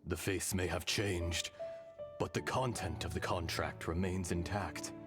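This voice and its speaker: An actor voicing an ancient, weary and noble earth dragon spirit in a video game, solemn and grandly poetic. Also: male voice, deep voice, steady tone, measured pace. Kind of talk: scripted video game acting. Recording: game audio with music behind.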